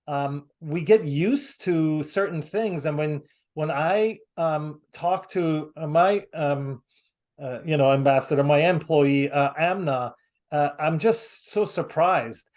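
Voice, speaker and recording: A man from the United States hosting a casual video call, talking haltingly with many fillers and restarts. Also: fairly high pitch, darkish tone. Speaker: host.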